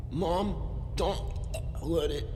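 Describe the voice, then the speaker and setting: A man's voice: a little high, very slow. A man voice-acting a frightened soldier in a dramatic comic dub, with breathless, stammering pleas. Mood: terrified, anguished. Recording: loud background noise, long reverb.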